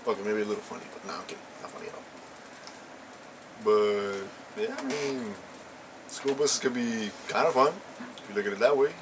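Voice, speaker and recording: A man's voice: mid-range voice, very animated, moderate pace, vocal fry, long pauses, noisy background. A young man chatting casually and telling a rambling story, full of slang and fillers. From Puerto Rican and Ecuadorian family. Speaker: co-host.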